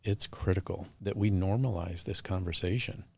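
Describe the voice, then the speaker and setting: A man's voice: low-pitched, fairly even intonation, moderate pace, gravelly, a little hiss or hum. A man hosting a podcast interview, speaking in an earnest, supportive, emphatic way. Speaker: podcast host. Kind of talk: interview conversation.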